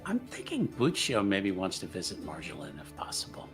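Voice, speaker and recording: A man's voice: low-pitched, wildly swooping pitch, a little fast, a bit bright, faint music behind. A man playing a tabletop roleplaying game, chatting casually about what his character will do.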